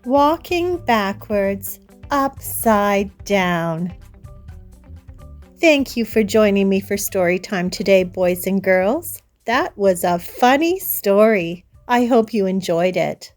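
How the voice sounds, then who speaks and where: Slow, very lively woman reading to children. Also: mid-range voice, faint music behind. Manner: deliberate, sing-song delivery addressed to children. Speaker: children's storybook reader and host. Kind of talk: read-aloud storytelling for young children.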